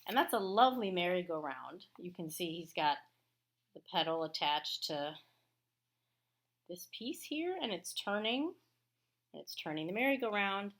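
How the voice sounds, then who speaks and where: A woman's voice: on the low side, big pitch swings, fairly bright tone. A woman elementary school teacher giving a read-aloud lesson for children, explaining things and chatting between passages of a storybook. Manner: explanatory, pauses to comment on pictures.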